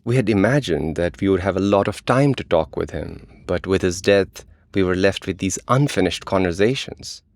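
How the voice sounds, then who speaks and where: A man's voice: sits low, animated, moderate pace, few pauses, no room sound, studio quality. A man co-hosting a podcast, reading conversational narration. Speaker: podcast co-host.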